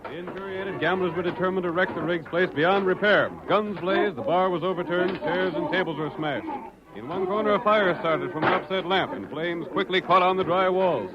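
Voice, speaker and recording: A man's voice: sits high, normal speed, natural voice. A man with an American accent narrating a vintage radio western drama in a declamatory, dramatic way. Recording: muffled old radio recording, music behind.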